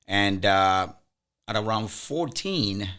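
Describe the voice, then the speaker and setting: A man's voice: lowish voice, lively intonation, takes it slowly, sharp tone, smooth. A man in his fifties from the Dominican Republic, a native Spanish speaker, talking earnestly as a guest on a podcast. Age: 50s. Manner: earnest, stammers on restarts, laughs often. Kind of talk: casual interview chat.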